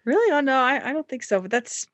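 A woman's voice: high voice, sing-song, fairly bright tone, no room sound. Effusive woman interviewer, dry close sound. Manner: effusive, quick to laugh along. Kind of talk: conversational interview.

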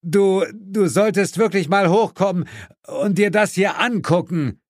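A man's voice: high-pitched, unhurried, no room sound, studio quality, voice pushed up. A man voicing an exasperated male monster hunter's sidekick, calling out urgently in an audiobook.

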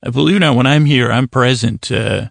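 A man hosting a bedtime podcast in a meandering, halting monologue, often interrupting himself and drifting off on tangents, with a creaky, gravelly voice. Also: mid-range voice, dry recording, clean studio sound. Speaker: podcast host telling bedtime stories. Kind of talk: rambling sleep-podcast monologue.

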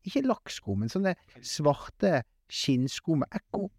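Male podcast co-host, casual and funny, stumbling phrasing, highly animated melody, dry recording. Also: no echo. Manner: halting, self-correcting, stammering repeats. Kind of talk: casual humorous chat.

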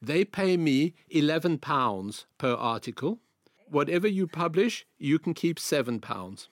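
A man in his sixties from South Africa with a South African accent, telling his career story as a guest in an interview, in a measured, anecdotal way. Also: fairly high pitch, sing-song, dry recording, clean studio sound. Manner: measured, anecdotal, repeats phrases. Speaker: guest, veteran journalist.

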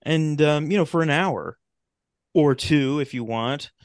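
A man with a smooth, clear voice at a middle pitch, talking casually as a podcast guest. His explanations ramble and halt, and the sound is dry and close. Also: unhurried, no echo. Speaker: podcast guest, talk show host and radio drama maker. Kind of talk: casual interview chat.

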